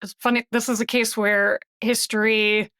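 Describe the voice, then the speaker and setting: A woman on a podcast who talks in a friendly, unhurried way. She pauses often with "um" as she sets up the next segment. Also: a little high, flat delivery, fairly bright tone. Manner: friendly, hesitant, frequent "um". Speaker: podcast co-host. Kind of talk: casual chat and segment intro.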